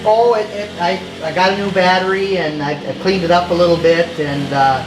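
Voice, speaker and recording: Higher-voiced man in echoey, noisy sound. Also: steady tone, normal speed. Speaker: interview guest, car collector. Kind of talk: casual interview chat.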